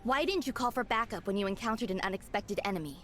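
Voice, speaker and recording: An actress voicing a stern woman security chief in a video game, firing off sharp questions at a subordinate. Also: medium pitch, fast, faint music behind, close to an everyday voice.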